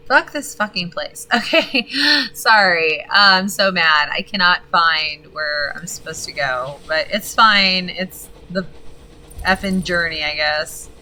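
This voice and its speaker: A woman streaming a game, chatting casually with viewers and reading game text aloud; her voice is gravelly and she swears freely. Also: lowish voice, slow, sharp tone, poor audio. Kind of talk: casual gaming stream commentary.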